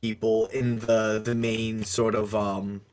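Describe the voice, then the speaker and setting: A man co-hosting a casual tabletop gaming discussion, speaking haltingly as he searches for words. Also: lowish voice, little pitch movement, a little mellow, clear, resonant tone. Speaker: podcast co-host.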